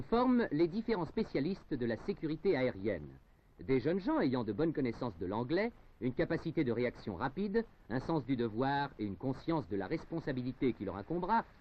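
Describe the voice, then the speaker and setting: A male documentary narrator with a fairly high, very lively pitch delivers a formal script. He articulates carefully and leans on key words with emphasis. The sound is that of a muffled 1970s film soundtrack. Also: a little hiss or hum.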